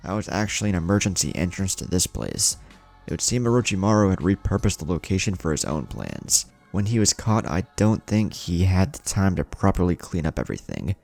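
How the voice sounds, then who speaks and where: Man reading as composed young ninja woman, low-voiced. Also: fairly quick, vocal fry, faint music behind, heavily acted. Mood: explanatory. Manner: calm, explanatory. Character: composed young kunoichi woman explaining secret matters.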